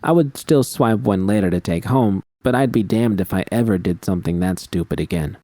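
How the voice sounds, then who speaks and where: Male audiobook narrator for first-person fiction, low and dark in tone, scripted read. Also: fairly quick, no echo, studio quality.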